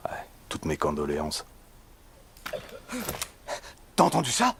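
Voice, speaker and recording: An actor playing a gentle, curious French soldier in a war game, speaking softly. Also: male voice, very deep voice, huge pitch swings, fast, creaky, a little hiss or hum, full character voice. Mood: quiet, wary. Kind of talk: quiet game dialogue in character.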